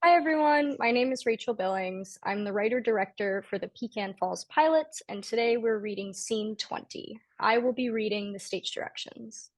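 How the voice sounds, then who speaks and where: A woman's voice: a little high, moderate pace, few pauses, no room sound, rough recording. A woman writer-director introducing a table read and reading out the stage directions as scripted narration.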